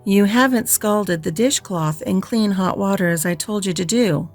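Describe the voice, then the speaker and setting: A woman voices a stern middle-aged spinster who answers curtly and with open disapproval. She speaks at a mid pitch, close to the reader's own voice, in a dry, matter-of-fact way. Faint music sits under the reading. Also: moderate pace, close to an everyday voice. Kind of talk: audiobook character reading.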